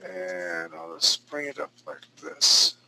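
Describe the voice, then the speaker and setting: A man's voice: low-pitched, lively intonation, takes it slowly, bright, forceful tone, slight background noise, poor audio. A man in his thirties teaching a live drawing class, talking through each stroke with chatty joking asides. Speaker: drawing instructor.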